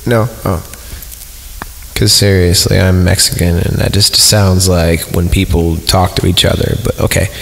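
A man's voice: deep voice, flat delivery, measured pace, creaky, a little hiss or hum, poor audio. A man hosting a horror podcast, reading a creepypasta story in a wry way with joking asides, over music. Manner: wry, breaks off to mock the text. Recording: loud music under the voice. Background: eerie film score music.

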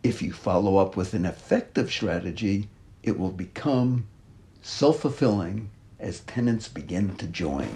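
Older man, mellow voice, reading aloud. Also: mid-range voice. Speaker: author and activist reading his own reflective essay. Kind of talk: scripted narration of a written memoir.

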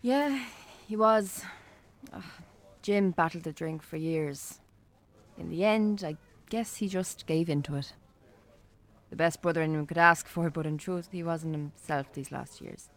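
An actress with an Irish accent playing a friendly, composed, bookish shop worker in a naturalistic radio drama. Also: female voice, deep voice, unhurried. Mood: subdued, candid.

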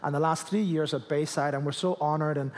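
A man, a guest pastor preaching a jokey, animated sermon to a church crowd. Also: on the high side, a little fast. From Spain, Canary Islands. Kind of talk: sermon with humor. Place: church hall with audience. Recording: long reverb of a large hall.

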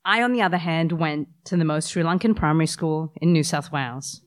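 A woman's voice: low-pitched, fairly quick. A woman, who has the flu, telling a personal story with wry humor to a live audience. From Australia. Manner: wry, self-deprecating humor.

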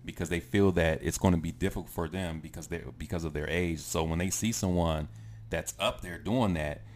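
A man in his late thirties talks in a relaxed, casual chat. His voice is fairly low. He speaks haltingly, often repeating a phrase before moving on. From United States. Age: 30s.

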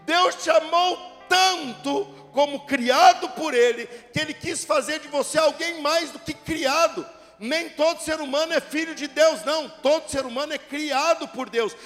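A middle-aged man preaching a sermon to his church, dramatic, rising to shouts at the peaks and joking with the congregation. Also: very high-pitched, normal speed. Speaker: pastor. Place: church sanctuary. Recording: echoey church hall with music.

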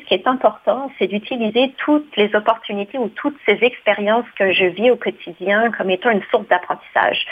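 A woman's voice: medium pitch, slightly rough. A woman who leads a community association, answering an interview question in an earnest, reflective way and often repeating words as she searches for them. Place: studio. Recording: phone-like lo-fi sound.

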